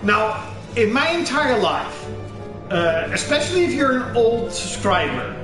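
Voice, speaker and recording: A young Dutch man talks in a strong Dutch accent, his voice sitting high and his delivery slow and unhurried. He rambles and restarts, dropping fillers and the odd curse. The room is echoey, with music playing clearly beneath him. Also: big pitch swings. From Netherlands. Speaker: gaming channel host. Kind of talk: casual personal monologue. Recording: roomy echo with music underneath.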